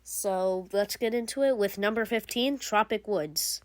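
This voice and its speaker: A woman's voice: medium pitch, unhurried. A young woman hosting a gaming channel, giving casual, rambling game commentary full of fillers and restarts.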